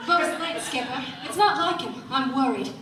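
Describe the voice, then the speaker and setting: Devoted flirty gal Friday, animated high voice, earnest, comic radio play, distant mic with clear noise. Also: female voice, normal speed. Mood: worried. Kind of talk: comic old-time radio play acting. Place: convention stage, recorded from the audience. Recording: distant camera, echoey room, noisy.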